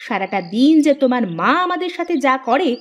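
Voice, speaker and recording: High, animated young housewife voiced by a woman. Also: brisk. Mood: aggrieved, sharp. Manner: complaining, expressive, sarcastic. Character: put-upon young daughters-in-law, sharp-tongued and aggrieved. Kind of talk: cartoon voice acting, fairy-tale drama.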